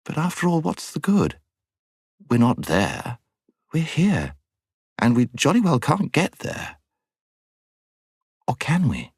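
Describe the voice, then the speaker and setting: A man's voice: a little high, wildly swooping pitch, takes it slowly, pauses often, husky, dry recording, studio quality, voice pushed up, heavily acted. A man voicing a questioning, easily indignant schoolgirl with an English accent in an audiobook. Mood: despondent. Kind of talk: audiobook character voice.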